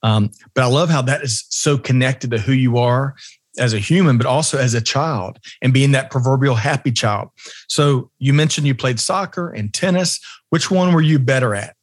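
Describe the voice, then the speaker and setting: A man's voice: mid-range voice, normal speed, no room sound, clean studio sound. A man hosting a business podcast, upbeat and friendly, asking questions in a casual interview. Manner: upbeat, friendly, uses fillers. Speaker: podcast host.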